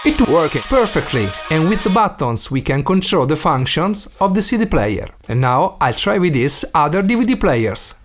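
An Italian man hosts a DIY tutorial in accented, non-native English. His voice sits a bit high for a man and moves around animatedly. Music plays behind his scripted voice-over. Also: sing-song, normal speed, few pauses. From Italy. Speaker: DIY video maker and host.

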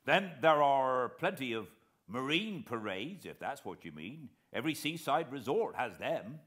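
A man's voice: mid-range voice, big pitch swings, measured pace, fairly bright tone, breathy edge, voice pushed up, exaggerated voice. A British man voicing a respectful, musing old coast guard officer in a storybook reading. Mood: helpful. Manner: deferential, musing, helpful.

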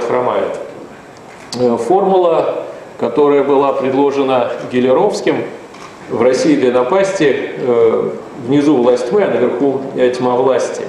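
A middle-aged man speaks from a podium in a large conference hall, his delivery measured and broken by hesitant pauses. His tone is mellow, and the sound carries a heavy hall echo with faint noise behind it. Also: medium pitch. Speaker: speaker at a round table. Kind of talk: formal lecture at a podium. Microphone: podium microphone.

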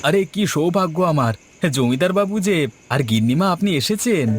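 A man's voice: a little high, expressive, fairly quick, soft background music, lightly acted. A man voicing a humble, eager village eatery owner in a cartoon story. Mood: deferential, delighted. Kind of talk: Bengali cartoon story dialogue.

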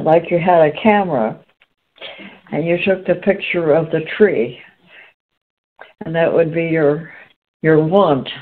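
Low-voiced woman host, phone-like sound. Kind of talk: conversational discussion, putting questions.

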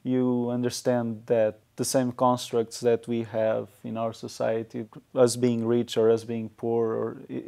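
Creaky-voiced Portuguese man, even delivery. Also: medium pitch. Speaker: interview guest, software tech lead. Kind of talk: conversational interview answers. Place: small indoor talk show studio.

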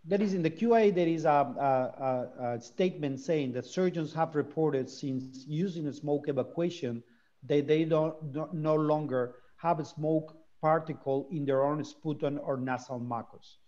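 A man's voice: fairly high pitch, moderate pace, dark tone. Man moderating a video call panel. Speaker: webinar moderator. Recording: dull lo-fi video call audio.

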